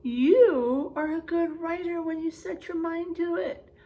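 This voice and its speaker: A woman teacher reading aloud and chatting to young children in an expressive, encouraging, teacherly way. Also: extremely high pitch, measured pace. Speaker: first grade teacher. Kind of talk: picture book read-aloud and chat to young pupils.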